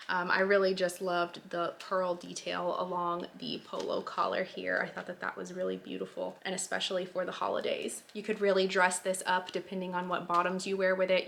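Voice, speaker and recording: A woman's voice: medium pitch, creaky, a bit bright, slight background noise. A woman in her late twenties giving a casual clothing try-on review, chatty with lots of fillers, a bit stuffy from a sinus infection. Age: 20s. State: nasal, recovering from a sinus infection. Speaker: fashion vlogger.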